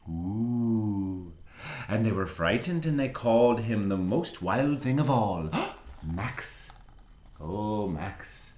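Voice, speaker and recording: A man reading a picture book aloud to a small child as a father, theatrical and playful, with asides and drawn-out words. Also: on the low side, lively intonation, darkish tone, reverberant.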